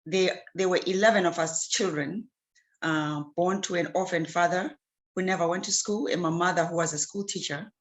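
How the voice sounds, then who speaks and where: A woman from Zimbabwe, an interview guest who answers earnestly and often pauses on fillers and restarts. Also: fairly low pitch, steady tone. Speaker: guest, clean water advocate.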